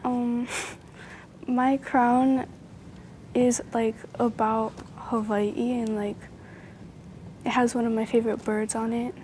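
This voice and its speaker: A woman's voice: on the high side, flat delivery, slow pace, pauses often, mellow, slight background noise. A young woman, an interview guest, answering questions shyly with short, plain replies. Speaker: interview guest, powwow princess.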